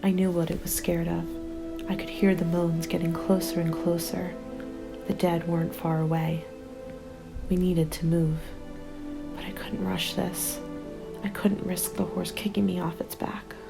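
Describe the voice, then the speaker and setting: A woman acts out a lone, worn-out female survivor of a zombie apocalypse, telling her story as an ASMR roleplay. Her hushed voice is low and creaky, often slipping into breathy whispers. She speaks very evenly and unhurriedly, with music underneath. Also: flat delivery, a little slow. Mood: soothing, tense. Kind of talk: ASMR roleplay, scripted first-person narration.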